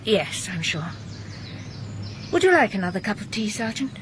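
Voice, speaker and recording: Casting: actress as a perceptive widow with a measured delivery, with birdsong and ambience behind. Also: female voice, medium pitch, sing-song, a little hiss or hum, close to an everyday voice. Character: composed, perceptive middle-aged widow of a policeman. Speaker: policeman's widow. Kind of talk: radio drama acting.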